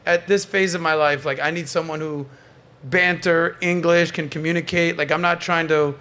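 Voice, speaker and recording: A man's voice: a little high, steady tone, moderate pace, pushed and bright. A man hosting a podcast in casual, opinionated panel chat, bantering and swearing freely. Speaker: podcast host.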